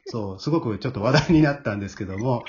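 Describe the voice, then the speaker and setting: A man's voice: mid-range voice, lively intonation, very fast, darkish tone. A man hosting a casual chat show, using polite announcer-style phrasing and reading out messages. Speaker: host. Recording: muffled lo-fi audio with background noise.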